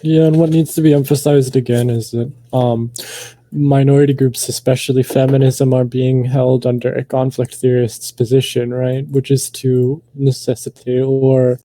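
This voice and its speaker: A man making a measured, academic argument in an online voice-chat debate, with pauses and ums. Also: medium pitch, little pitch movement, dark tone, dry recording.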